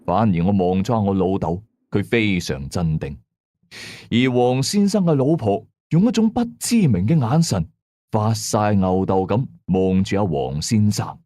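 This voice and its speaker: A man with a Hong Kong Cantonese accent tells a horror story in the first person, in a suspenseful, colloquial, expressive way. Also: on the low side, unhurried, no echo, clean studio sound. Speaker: ghost story narrator. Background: music behind the voice.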